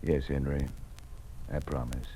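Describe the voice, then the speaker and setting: An American actor playing a kindly, graying, middle-aged college dean in a 1950s radio drama, speaking in a measured, formal way. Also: male voice, extremely low pitch, level tone. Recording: noisy, muffled-free vintage radio recording.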